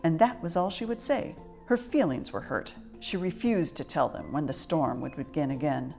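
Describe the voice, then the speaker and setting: Low-voiced woman reading a children's story. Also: animated, moderate pace, vocal fry, dark tone, soft background music. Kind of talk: children's picture book read-aloud.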